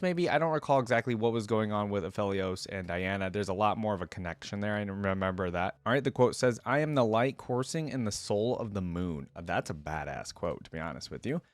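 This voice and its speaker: A man's voice: medium pitch, dry recording. A man hosting a reaction channel, reading lore aloud and commenting as a fan in an informal, slangy way.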